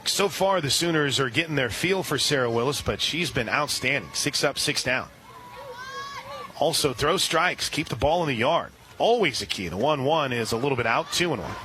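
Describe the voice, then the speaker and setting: A man doing radio play-by-play for a college softball game, steady and informative, reading sponsor spots between pitches. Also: normal speed, poor audio.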